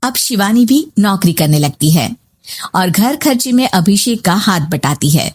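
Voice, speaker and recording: A woman's voice: medium pitch, animated, a little slow, slightly rough. A woman reading scripted narration for a moral family story.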